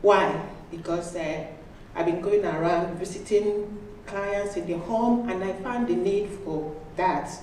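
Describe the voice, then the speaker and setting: A woman from Nigeria with a Nigerian accent, a registered nurse giving a friendly personal pitch about her business. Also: takes it slowly, darkish tone, a little hiss or hum. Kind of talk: personal pitch to a live audience. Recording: echoey hall, distant mic.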